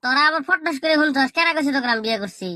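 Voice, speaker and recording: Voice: high voice, very fast, very noisy, natural voice. A performer voicing a cheeky, scornful cartoon schoolkid who bickers in rustic slang. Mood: scornful, bickering. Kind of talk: comedy cartoon dialogue in colloquial Bangla.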